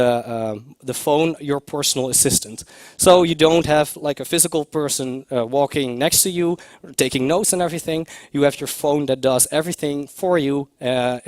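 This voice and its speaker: A man giving a conference talk, a little hoarse from a cough, hesitant with many fillers and restarts. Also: a little high, a little mellow, dry recording. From Netherlands. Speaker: conference speaker. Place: lecture room at a tech conference.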